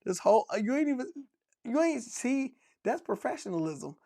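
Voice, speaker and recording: A man with a gravelly, fry-edged voice cracks dad jokes on a casual sports podcast, chuckling at his own punchlines. His voice is recorded close and dry, with no room around it. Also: very high voice, very animated, normal speed, warm tone, dry recording. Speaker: podcast co-host.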